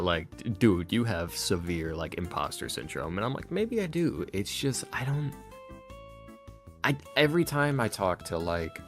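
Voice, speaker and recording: Low-voiced man in casual podcast chat. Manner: informal, self-deprecating. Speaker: interview guest, ASMR roleplay creator.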